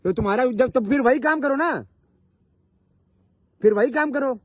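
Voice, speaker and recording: Very high-pitched man arguing, muffled sound. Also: moderate pace, no echo. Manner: confrontational, repetitive, interrupting, swearing. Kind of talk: heated argument on a recorded phone call. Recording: muffled, low-fidelity phone call recording.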